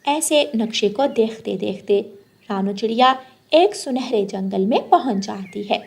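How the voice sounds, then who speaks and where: A woman's voice: mid-range voice, animated, a little slow, vocal fry, darkish tone, a little hiss or hum. A woman delivering scripted narration for a children's story.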